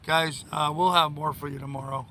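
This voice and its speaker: An older man with a hoarse voice, hosting a rambling, casual live stream in a halting, repetitive way. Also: on the high side, steady tone. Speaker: live-stream host of a sailing channel. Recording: loud wind and boat noise.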